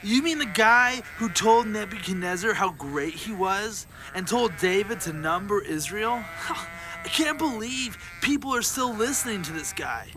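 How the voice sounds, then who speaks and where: A man playing a laid-back, youthful surfer-dude angel with a slangy, drawn-out delivery in a family audio drama. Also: high voice, expressive, over music, low-quality recording. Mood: casual, incredulous.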